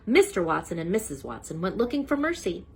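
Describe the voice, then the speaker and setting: A woman storyteller reading a children's book aloud in an animated style. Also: fairly low pitch, brisk, a little hiss or hum.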